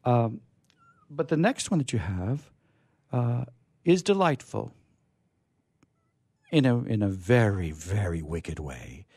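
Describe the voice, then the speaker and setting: Effusive, husky male radio host. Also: mid-range voice, dark tone, no room sound. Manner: effusive, halting and repetitive. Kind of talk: unscripted introductions and commentary.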